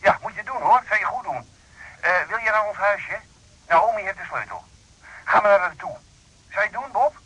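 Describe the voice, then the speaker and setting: An actor in a radio drama playing a busy, brisk but concerned friend, with a rough voice over the telephone. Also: male voice, high voice, sing-song, pushed and bright, no room sound, exaggerated voice, low-quality recording. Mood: concerned, hurried. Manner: brisk, impatient yet caring.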